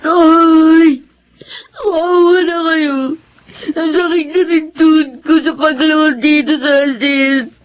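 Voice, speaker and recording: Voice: sits high, little pitch movement, slow pace, low-quality recording. An actor playing a tearful, pleading young schoolboy in a radio drama, whining and defiant. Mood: tearful, aching.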